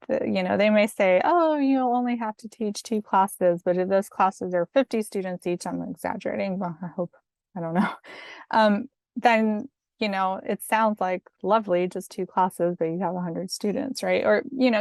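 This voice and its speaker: A calm, soft-spoken woman giving chatty career advice over slides, with fillers and little laughs. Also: medium pitch, dry recording, clean studio sound. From family from Mexico. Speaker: academic career coach. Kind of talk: casual instructional talk over slides.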